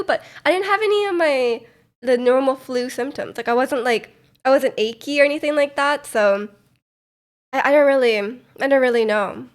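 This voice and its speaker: A young woman talks to her live stream in a loose, rambling way, leaning on filler words. Her voice sounds stuffy and congested from a cold, and sits a little on the high side. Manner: rambling, many "like" fillers, soft laughs. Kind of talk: casual chat to live chat.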